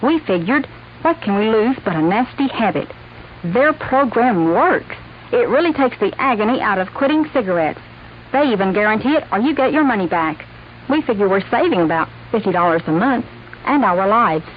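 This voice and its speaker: An actress playing a delighted wife chatting to a friend in a radio commercial. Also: female voice, mid-range voice, lively intonation, moderate pace, close to an everyday voice. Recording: dull radio recording with hiss.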